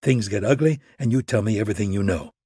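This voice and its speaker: A man voicing a cold, menacing male operative who talks low and clipped. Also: quick pace, warm tone, breathy edge, no room sound, studio quality.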